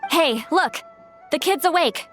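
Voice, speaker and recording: A friendly, energetic young girl in a video game. The voice is extremely high and animated, upbeat and encouraging, with music behind. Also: unhurried. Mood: excited.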